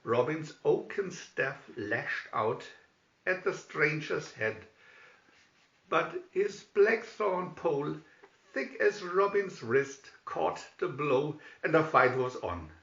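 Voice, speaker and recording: A man's voice: fairly high pitch, animated, takes it slowly, creaky, a bit bright. A man reading a storybook aloud as dramatic scripted narration. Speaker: storybook reader. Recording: dull, roomy home recording.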